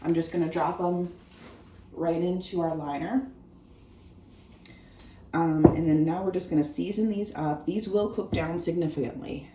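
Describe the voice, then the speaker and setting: A woman hosting her own home cooking show with a hoarse, worn voice, chatting viewers through each step of a recipe in her kitchen. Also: low voice, echoey room, background noise. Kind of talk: casual cooking demonstration.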